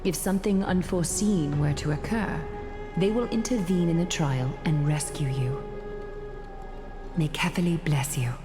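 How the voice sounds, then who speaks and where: Low-voiced dignified priestess, played by an actress. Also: female voice, normal speed, natural voice. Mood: reassuring. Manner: formal, composed. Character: poised, dignified noblewoman priestess in fantasy game. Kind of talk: video game voice acting. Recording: long reverb, music behind.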